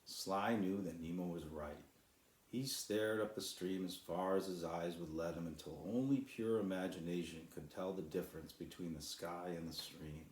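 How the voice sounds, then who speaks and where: A man's voice: deep voice, normal speed, darkish tone, reverberant, poor audio. A man who is an author, reading and introducing his own children's story as a storyteller. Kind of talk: storybook narration.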